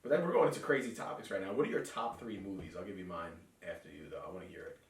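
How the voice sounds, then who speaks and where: A man's voice: sits low, very animated, very fast, echoey room, recorded from a distance, slight background noise. A young man with a New York accent, hosting a podcast and joking around in casual, rambling chat. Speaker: podcast host.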